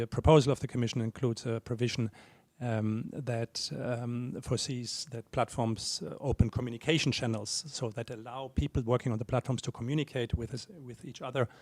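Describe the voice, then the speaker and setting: Measured man speaking formally on a panel. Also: mid-range voice, darkish tone, no echo. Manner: measured, formal, hesitant with fillers. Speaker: European Commission official. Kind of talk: panel talk.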